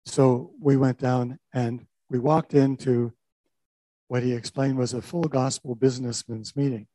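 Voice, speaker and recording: A man's voice: mid-range voice, fairly even intonation, unhurried, mellow, no room sound, low-quality recording. An older man, a pastor telling a personal testimony in a reflective, storytelling way. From Canada. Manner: reflective storytelling with small restarts.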